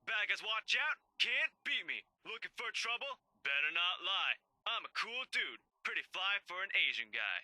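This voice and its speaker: A cocky young dude bragging in a rhythmic game ringtone. The voice is high and extremely sharp and forceful, swinging with boastful swagger. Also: male voice, big pitch swings, normal speed, no room sound, exaggerated voice.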